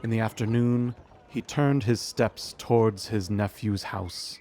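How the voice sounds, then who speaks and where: A man narrating a storybook audio drama in a measured storytelling manner. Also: on the low side, dark tone, slight background noise. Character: omniscient storybook narrator of a Christmas tale.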